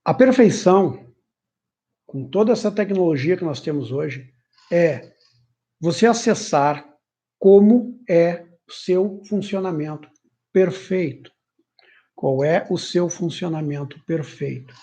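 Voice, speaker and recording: A man giving an informal, animated self-development lecture, sometimes mimicking complaints in a higher voice. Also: slow pace. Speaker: personal development teacher. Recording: muffled lo-fi audio.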